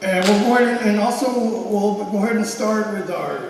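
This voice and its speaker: A man's voice: high-pitched, gravelly. A man giving an informal, hesitant thank-you speech as presenter of a school theater show. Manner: halting, hesitant. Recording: very echoey hall, distant mic, noisy.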